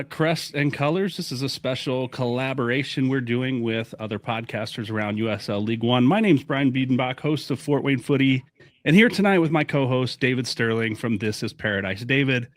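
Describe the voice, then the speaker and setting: A man's voice: medium pitch, brisk, dry recording. A man hosting a soccer fan podcast, upbeat and talkative, moving from a scripted intro to friendly chat. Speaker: podcast host.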